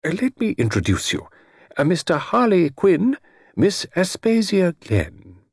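A man voicing a courteous, fussy older gentleman in an audiobook, with old-fashioned, hesitant politeness. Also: high voice, wildly swooping pitch, a little slow, creaky, breathy edge, dry recording, clean studio sound, pitched above the performer's own voice, full character voice. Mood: flustered, delighted.

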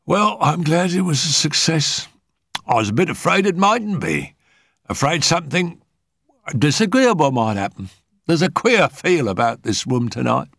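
A man's voice: on the high side, sing-song, normal speed, creaky, a bit bright, breathy edge, no echo, clean studio sound, voice pushed up, exaggerated voice. A man voicing an ample, tired woman spirit medium who talks in a chatty, dramatic, uneasy way. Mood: weary.

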